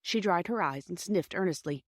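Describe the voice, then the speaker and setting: A woman's voice: on the low side, expressive, normal speed, no room sound, studio quality. A woman narrating a children's story from a script as an audiobook reader.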